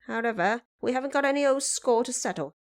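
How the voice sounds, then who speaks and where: A woman's voice: on the high side, fast, pitched above the performer's own voice, close to an everyday voice. A woman voicing a cocky, mocking male monkey king in an audio drama, with a taunting, condescending manner. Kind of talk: audio drama character acting.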